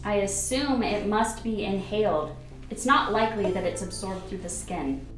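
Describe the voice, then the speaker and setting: A woman's voice: mid-range voice, moderate pace, close to an everyday voice. A woman playing a concerned, attentive female doctor in a radio play, with an earnest delivery. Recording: echoey room. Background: music.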